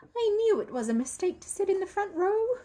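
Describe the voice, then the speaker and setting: Woman acting a fretful, high-pitched storybook mother. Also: sing-song, fairly quick, mellow. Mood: dismayed. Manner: nervous fretting. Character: anxious, fussing, well-mannered married mother.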